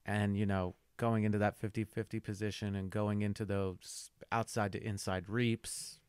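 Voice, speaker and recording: A man co-hosting a podcast in a lowish, smooth voice. He swears casually and trades teasing remarks. The sound is dry and close to the mic. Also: level tone, moderate pace, a little mellow, no echo. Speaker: podcast co-host.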